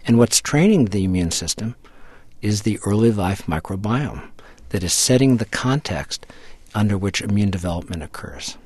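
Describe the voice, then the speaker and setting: A scientist being interviewed who explains in a measured way, often repeating words as he thinks. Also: lowish voice, lively intonation, a little mellow, slightly rough, no room sound. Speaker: scientist guest. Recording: dry close-mic studio sound.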